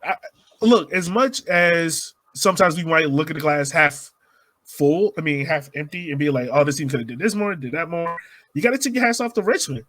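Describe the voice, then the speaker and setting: Bright, higher-voiced man hosting sports chat. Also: animated, moderate pace, no room sound. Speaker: sports podcast host. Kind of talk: casual soccer game discussion.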